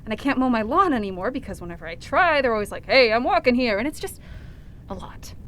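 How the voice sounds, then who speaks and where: A woman's voice: a little high, expressive, fast talker, fairly bright tone, slight background noise. A woman playing an earnest, flustered good-cop reporter with a hesitant, exasperated manner in a fiction podcast. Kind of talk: audio fiction podcast acting.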